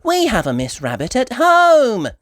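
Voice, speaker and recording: An eager young girl pig from a children's storybook, acted out by a woman reader. The voice is high and squealing, swooping up and down with curious, cheerful excitement. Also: measured pace, dry recording, clean studio sound.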